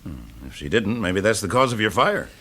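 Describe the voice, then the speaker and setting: An actor with an English accent playing a dry, urbane fire investigator in a radio drama. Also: male voice, on the low side, sing-song, moderate pace, bright, forceful tone, husky, full character voice. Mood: probing. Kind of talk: BBC radio drama acting.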